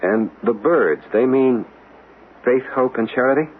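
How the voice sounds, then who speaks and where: A man's voice: mid-range voice, expressive, measured pace, husky. An actor playing an intent, inquisitive man in a radio drama, earnest, insistent and dramatic in delivery. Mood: polite, curious. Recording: tinny, noisy vintage radio broadcast.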